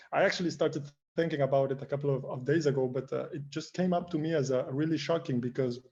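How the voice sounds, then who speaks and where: Man, careful panelist on a video call, mellow flat voice, muffled sound. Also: medium pitch. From Argentina. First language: Spanish. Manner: careful, precise, with frequent "uh" pauses.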